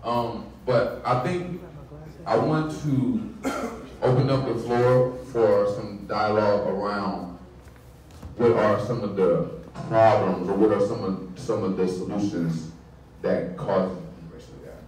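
A man's voice: lowish voice, fairly even intonation, a little mellow, a little hiss or hum. A man moderating an open discussion, calling on speakers in turn. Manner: brisk, organizing who speaks next. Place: hotel conference room. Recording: echoey room, from far off.

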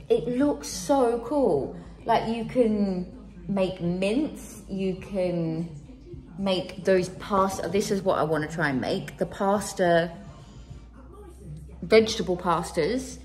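An enthusiastic woman in her thirties chatting casually for a home vlog, recorded indoors on a handheld device. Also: mid-range voice. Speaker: vlogger. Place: living room and kitchen at home, indoors. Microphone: handheld phone or camera, near.

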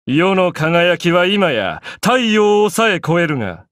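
A man's voice: sits high, big pitch swings, slow pace, noisy background, exaggerated voice. An actor playing a proud, imperious young pharaoh and godlike sun king in an anime game, speaking in grandiose, archaic phrases. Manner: pompous, grandiose, archaic speech.

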